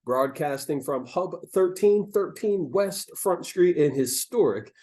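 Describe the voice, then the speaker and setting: An American man hosting a talk show, speaking to his audience in a measured, halting way with emphatic stresses and a slightly crackly voice after losing it. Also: on the high side, animated, dark tone. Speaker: host of a local talk show.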